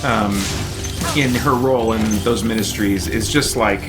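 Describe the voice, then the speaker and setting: A man's voice: on the low side, steady tone, measured pace. A man chatting casually as a livestream host, talking in a rambling, encouraging way. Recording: music playing behind the voice. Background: video game music.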